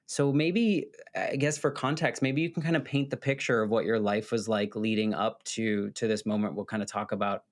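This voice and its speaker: A man's voice: on the low side, fairly even intonation, moderate pace, few pauses. A man hosting a podcast, talking in a reflective, searching way as he frames his questions. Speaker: podcast host. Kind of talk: interview conversation.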